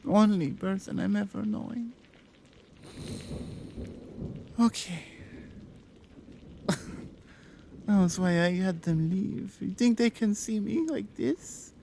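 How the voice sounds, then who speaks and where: Woman acting a tender, creaky-voiced Slavic mafiosa. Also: medium pitch, mellow, a little hiss or hum. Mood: relieved, tender, then confiding. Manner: halting foreign phrasing, murmuring, earnest. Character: tender Slavic mafia boss woman, devoted, quietly dangerous. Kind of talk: intimate ASMR roleplay.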